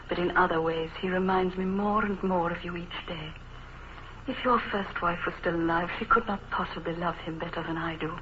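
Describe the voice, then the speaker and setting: Actress as a refined, affectionate English wife. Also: female voice, fairly low pitch, natural voice. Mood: tender. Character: devoted, fondly amused English gentlewoman wife. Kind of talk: 1950s radio drama, reading a letter. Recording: muffled, hissy old radio recording.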